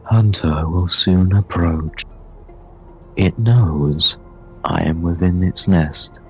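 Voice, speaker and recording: A man voicing a solemn, grateful artificial being in a tabletop game, speaking in slow, formal, measured phrases. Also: low-pitched, rough recording. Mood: solemn, urgent. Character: Navigator, solemn, grateful cosmic AI puppeteer. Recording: game music behind the voice.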